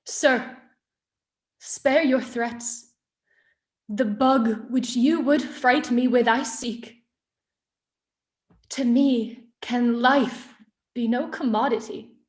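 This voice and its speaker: Wronged Shakespearean queen played by a woman: grieving yet defiant, declamatory stage delivery, raised pitch, echoing room. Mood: anguished, defiant. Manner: declamatory, deliberate, impassioned. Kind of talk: Shakespearean stage monologue in heightened verse. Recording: roomy, echoing space.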